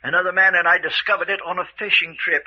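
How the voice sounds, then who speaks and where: A man's voice: high-pitched, fairly even intonation, fast talker, pushed and bright, exaggerated voice. A man acting an older, genteel villain who rules a castle, smug and coolly menacing, taunting with dry chuckles in an old-time radio mystery style. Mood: matter-of-fact. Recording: dull, lo-fi radio drama recording.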